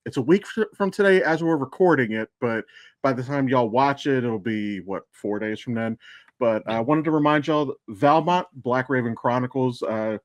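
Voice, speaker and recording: A man's voice: mid-range voice, no room sound. An American man co-hosting a podcast in casual, rambling, sweary chat. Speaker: podcast co-host and voice actor.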